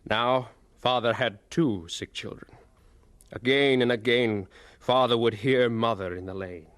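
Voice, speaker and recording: A man playing a grown son who narrates his family's sorrows in an audio drama, in solemn, measured storytelling. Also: medium pitch, a bit bright, dry recording, lightly acted. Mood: solemn, sorrowful.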